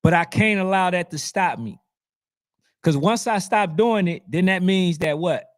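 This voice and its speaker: A man with a hoarse voice, losing it as he talks, hosting a casual sports commentary and reading out viewer comments in an animated, preachy way. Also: sits high, a little slow. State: losing his voice. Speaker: youth football channel host.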